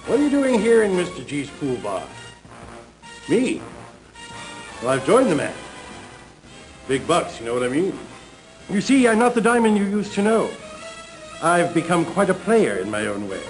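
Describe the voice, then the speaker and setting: An actor playing a smug, envious pool shark in a video game cutscene, with showy, drawling taunts. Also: male voice, sits high, poor audio. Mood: jovial, boastful.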